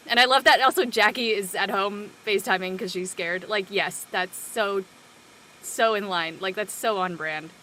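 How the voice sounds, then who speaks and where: A woman's voice: medium pitch, normal speed, bright, forceful tone, a little hiss or hum, rough recording. A woman panelist chatting casually after a show, enthusiastic, effusive and slangy. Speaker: cast member panelist. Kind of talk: casual post-show discussion.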